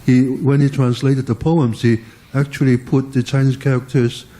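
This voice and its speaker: Older man, halting, mellow voice. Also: mid-range voice, little pitch movement. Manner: halting, searching for words. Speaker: audience member asking a question. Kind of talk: question from the floor.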